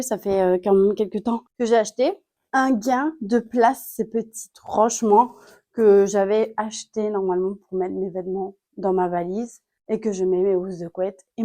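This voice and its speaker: Woman, vlogger, mellow tone, candid rambling chat, slight cold, bedroom, phone camera. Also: mid-range voice. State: has a cold. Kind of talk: casual vlog chat to camera. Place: home bedroom.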